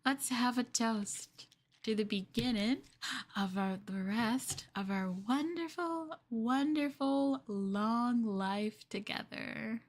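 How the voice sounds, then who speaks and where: A woman playing an obsessive, lovesick young girlfriend in an ASMR roleplay, cooing slowly with sweet possessiveness. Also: fairly high pitch. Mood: sweetly possessive. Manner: slow, cooing, lingering delivery.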